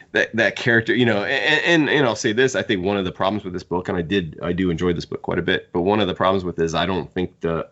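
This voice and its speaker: A man's voice: deep voice, a bit bright, muffled recording. A man co-hosting a book podcast, talking casually and analytically as he gives his opinions. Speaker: podcast co-host discussing books.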